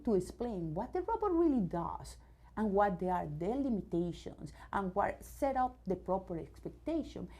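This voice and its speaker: Woman, from Venezuela, English speaker, low voice, hesitant restarts, enthusiastic, CEO interviewee. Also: creaky, dark tone, slightly rough. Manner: many fillers and restarts, searching for words; enthusiastic about her subject. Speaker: robotics company CEO, guest. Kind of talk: news interview.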